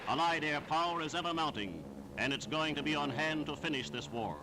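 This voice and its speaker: A man's voice: on the high side, fairly quick, noisy background. A man narrating a scripted 1940s wartime newsreel in a solemn, declamatory manner on a vintage soundtrack. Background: music.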